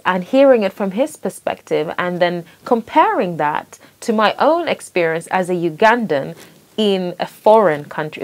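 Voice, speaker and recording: A young woman born in Sweden, hosting and speaking thoughtfully and earnestly about her identity, with small restarts. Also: on the low side. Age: young adult. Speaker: host. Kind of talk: reflective personal monologue.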